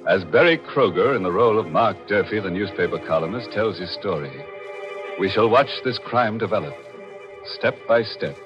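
A very deep-voiced man hosts an old radio mystery with ominous, theatrical deliberation. Music plays clearly behind him, and the vintage broadcast sounds muffled. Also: lively intonation, moderate pace. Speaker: host and announcer. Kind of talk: 1940s radio mystery introduction, scripted.

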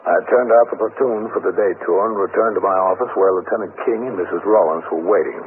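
An actor playing a calm, businesslike police captain who speaks and narrates with matter-of-fact authority in a 1950s radio drama. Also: male voice, fairly low pitch, fast, lightly acted. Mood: matter-of-fact, firm. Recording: scratchy, narrow-band 1950s radio recording.

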